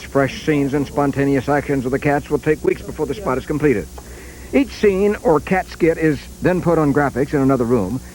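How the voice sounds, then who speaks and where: A man's voice: a little high, darkish tone, slight background noise, low-quality recording. A man delivering a scripted local television news report as the reporter.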